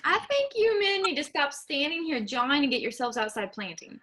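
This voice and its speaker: A woman playing a caring farm aunt who speaks in a gently bossy way. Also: high-pitched, very animated, fairly quick, full character voice. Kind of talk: staged play reading.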